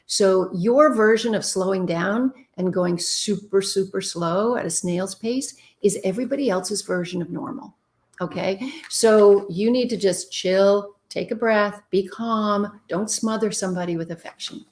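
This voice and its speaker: A middle-aged woman with a warm voice hosts a live show, reading out viewer questions and answering them with blunt, direct advice. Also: mid-range voice, measured pace. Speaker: relationship advice show host.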